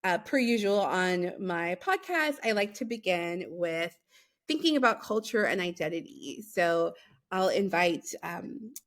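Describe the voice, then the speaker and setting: A woman's voice: fairly low pitch, creaky. Giggly woman podcast host. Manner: warm, giggly, many affirming backchannels. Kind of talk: casual interview.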